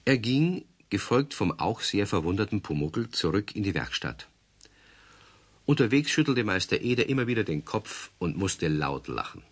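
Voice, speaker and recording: Deep-voiced male storyteller. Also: sing-song, moderate pace, slightly rough. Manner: gentle, confiding, addresses children directly. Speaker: narrator. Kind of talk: warm storybook narration for children.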